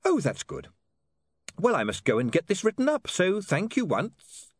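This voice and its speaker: A man voices a young newspaperman in a novel. He is high-pitched and politely pointed, with a cheeky, haughty sweetness and a very dry sound. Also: wildly swooping pitch, moderate pace, slightly rough, no room sound, heavily acted. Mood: cheerful. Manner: polite, bright, pointed questioning.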